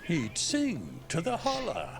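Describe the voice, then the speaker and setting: An actor playing a cranky old elf mentor in a video game, wry, blunt and teasing. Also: male voice, sits high, wildly swooping pitch, slow, vocal fry, over music, exaggerated voice. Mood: warm amusement. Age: older. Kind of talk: video game dialogue acting.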